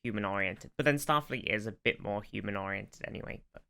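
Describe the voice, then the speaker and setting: A man co-hosting a podcast in casual fan discussion, enthusiastic and explanatory, quick to interject. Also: lowish voice, gravelly, bright, forceful tone. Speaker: podcast co-host.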